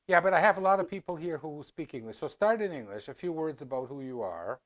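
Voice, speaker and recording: A man's voice: on the high side, animated, fast, a bit bright. A man hosting a casual live interview, making playful jokes with his guest. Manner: joking, teasing. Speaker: host.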